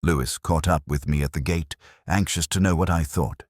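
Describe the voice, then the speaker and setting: A man playing a melancholy, observant older police inspector, narrating a case in a measured, reflective, literary way. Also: rumbling low, fairly even intonation, no echo, clean studio sound. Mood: brooding, reflective. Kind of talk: scripted first-person detective narration.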